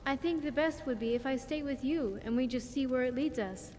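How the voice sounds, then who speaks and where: A woman's voice: sits high, fairly quick, a little mellow, in a large hall, a little hiss or hum, natural voice. A woman playing a wistful, earnest young vault survivor in a video game, speaking in careful, formal phrases. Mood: calm, uncertain. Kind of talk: video game companion dialogue.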